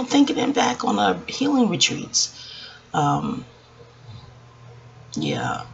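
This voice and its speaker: A woman in her forties talking to her viewers in a hushed, rambling, reflective way, hesitating and digressing as she goes. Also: low voice, gravelly. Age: 40s. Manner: hushed, keeping voice down so as not to wake others. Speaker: video channel host. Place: at home, quiet dark room. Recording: dull lo-fi audio with faint background noise.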